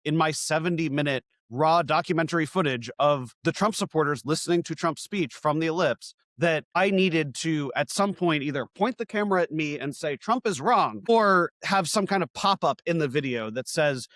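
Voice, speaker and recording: A man with a fairly high voice tells a long, winding story in a relaxed interview. He becomes animated whenever he quotes someone else. The sound is close and dry, with no room around it. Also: normal speed, few pauses, no echo. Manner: long-winded, animated when quoting others. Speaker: independent video journalist, guest.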